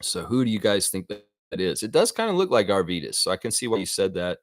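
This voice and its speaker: A man's voice: low voice, sing-song, fast, dry recording. A man hosting a live sports fan chat, talking informally and conversationally as he thinks aloud to his viewers.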